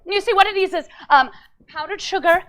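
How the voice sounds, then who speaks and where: A woman's voice: very high voice, a little fast, a bit bright. A woman playing a flustered, apologetic elderly housekeeper with a put-on, wobbly British accent in improvised stage comedy. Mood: sheepish. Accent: put-on British, wobbling toward Irish. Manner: effusive, fluttery, doting.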